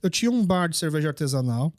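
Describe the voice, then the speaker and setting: High-voiced man, animated storyteller. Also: fast talker, dry recording, clean studio sound. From Brazil. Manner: animated storyteller who acts out dialogue. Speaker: podcast host. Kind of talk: casual conversational interview.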